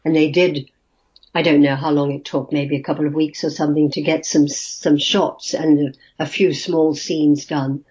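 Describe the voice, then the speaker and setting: A woman's voice: sits low, steady tone, normal speed, a little mellow, slightly rough. An older woman from Australia, now, a guest actress telling stories in a chatty way and laughing easily. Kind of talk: casual interview chat. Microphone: video call. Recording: muffled video call audio.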